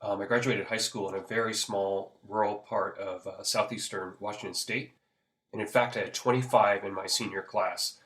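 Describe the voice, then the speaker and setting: A man in his fifties from the Pacific Northwest, a school counselor, chatting in an interview at an easy, rambling pace with many "uh" pauses. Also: lowish voice, steady tone, a bit bright. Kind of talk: conversational interview.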